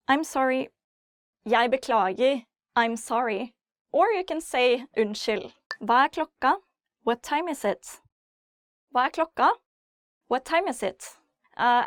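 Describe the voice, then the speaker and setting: Female language host, Norwegian accent, higher voice, unhurried instructional delivery with clear repeats. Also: no echo, clean studio sound. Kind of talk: instructional phrase lesson with repeats and casual explanations.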